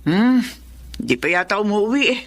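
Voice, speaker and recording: Fretful middle-aged mother, very animated actress. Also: medium pitch, moderate pace, close to an everyday voice. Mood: concerned. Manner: motherly, nagging, expressive. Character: caring, fretful widowed mother of grown children. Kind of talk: dramatic Filipino drama acting.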